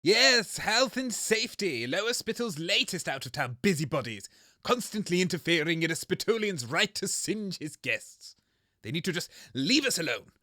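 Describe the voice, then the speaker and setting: Man acting a high, blustering radio co-host. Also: very animated, normal speed, pushed and bright, dry recording, studio quality, exaggerated voice. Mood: indignant bluster. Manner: indignant, petulant bluster. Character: Fog, blustering, excitable, danger-loving radio co-host. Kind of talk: comedy radio show acting.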